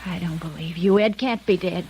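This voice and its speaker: A woman's voice: on the low side, moderate pace, warm tone, natural voice. Sad, wounded American gangster's wife played by a woman. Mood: disbelieving. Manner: emotional, wounded. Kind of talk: 1950s melodramatic radio drama acting. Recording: noisy vintage radio recording.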